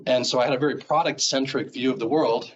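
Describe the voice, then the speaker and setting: A man's voice: mid-range voice, steady tone, fast, highs cut off, slight background noise. A middle-aged man from Seattle with an American accent, giving a rambling conference talk full of fillers in a presentation room. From Seattle, Washington, US. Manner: rambling, filler-heavy, self-correcting. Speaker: guest speaker. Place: presentation room, standing before a screen.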